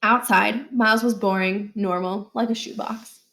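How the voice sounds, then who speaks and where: A woman narrating a children's book aloud, expressively, with deliberate phrasing in short, emphatic groups. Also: medium pitch, fairly even intonation, fairly bright tone. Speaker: read-aloud reader.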